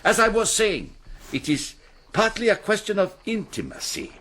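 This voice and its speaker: An actor in a radio drama playing a flirtatious, theatrical Spanish painter with an expansive, rambling manner and a husky voice. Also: male voice, sits high, big pitch swings, moderate pace, bright, forceful tone, a little hiss or hum, exaggerated voice. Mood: musing, pompous. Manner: expansive, digressive, self-dramatising. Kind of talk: BBC radio drama acting.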